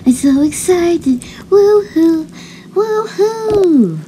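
A woman voicing an excitable little girl in a homemade cartoon roleplay. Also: very high voice, very slow, a little hiss or hum, pitched above the performer's own voice, heavily acted, low-quality recording. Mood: excited. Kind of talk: hobby cartoon roleplay acting.

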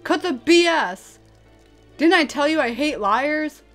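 A woman's voice: medium pitch, moderate pace, fairly bright tone, faint music behind, lightly acted. Woman doing a brash, hot-tempered young man. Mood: irritated. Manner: gruff, blunt, impatient. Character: hot-tempered, brash young male killer named Zack. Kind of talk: anime-style dramatic character acting.

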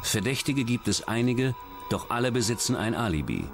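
A man's voice: medium pitch, expressive, normal speed, over music. A man narrating a true-crime documentary from a script.

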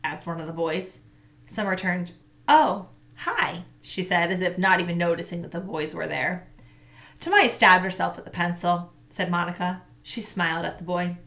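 Gravelly woman reading a storybook aloud. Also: moderate pace. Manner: expressive, pitches up sharply for characters' shouted lines. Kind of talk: children's book read-aloud. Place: small home room indoors. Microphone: laptop webcam mic.

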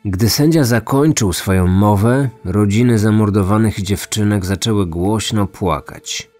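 A man with a very low voice reads scripted true-crime narration. Faint music plays behind him. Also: a little slow, a little mellow.

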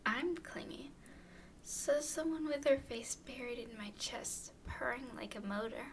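A woman playing a tall, clingy, playfully teasing young woman smitten with her friend, in an intimate, softly whispered ASMR roleplay. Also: on the high side, measured pace. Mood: tender. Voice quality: soft, breathy whispering. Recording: faint background noise.

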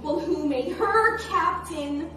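High-pitched anxious teenage girl, echoey. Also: slow. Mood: sad, fearful singing. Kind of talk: musical theatre monologue and singing. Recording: echoey, distant, music underneath.

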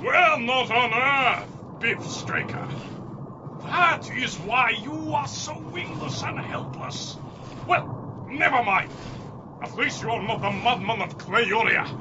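A man's voice: very high-pitched, lively intonation, takes it slowly, background noise, full character voice. An actor playing a proud, hearty bird-man war leader in a theatrical pulp audio drama. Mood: proud, amused. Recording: dull lo-fi audio under loud music.

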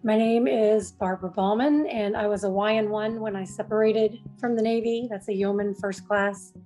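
A woman in her forties, a Navy veteran, reflecting earnestly on a webcam in a room at home, with frequent pauses and fillers. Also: medium pitch, fairly even intonation, mellow, soft background music, poor audio. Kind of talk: recorded testimonial.

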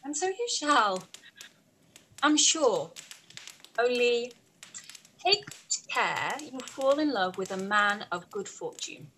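A young woman playing a witty, frank, playful gentlewoman in a period drama. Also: a little high, sing-song, slow, pauses often, full character voice. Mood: affectionate, frank. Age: young adult. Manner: arch, playful. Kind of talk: play read-through, period drama. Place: indoor room by a window, over video call. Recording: noisy video-call audio.

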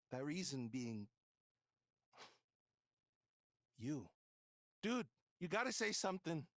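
An actor playing a sweet, earnest young surfer dude in a video game, talking in breezy slang. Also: male voice, fairly high pitch, very animated, slow pace, a bit bright, no room sound, exaggerated voice. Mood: nervous, sincere. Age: young adult.

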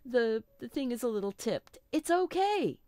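A middle-aged woman with a slightly froggy voice, hosting a relaxed live painting lesson and chatting reassuringly with little asides and self-corrections. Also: fairly high pitch, moderate pace, dry recording. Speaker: art teacher hosting a live stream.

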